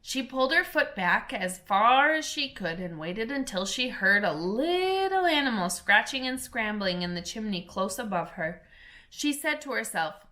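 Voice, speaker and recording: A woman reads a children's storybook aloud, slowly and carefully, with an expressive read-aloud manner. Her voice is bright and fairly high. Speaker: storybook reader. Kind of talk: reading a children's book aloud.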